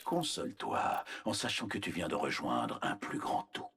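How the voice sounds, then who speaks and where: An actor playing a cold, arrogant, menacing scientist villain in a video game, speaking in a measured, condescending, clinical manner with a husky voice. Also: male voice, extremely low pitch, wildly swooping pitch, fairly bright tone, heavily acted. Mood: detached menace. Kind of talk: scripted video game character voice lines.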